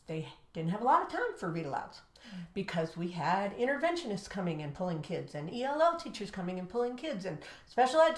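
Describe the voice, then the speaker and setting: An American woman, a teacher co-hosting an education show, telling classroom stories and giving advice emphatically, acting out dialogue. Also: a little high. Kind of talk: animated storytelling and teaching advice. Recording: echoey room.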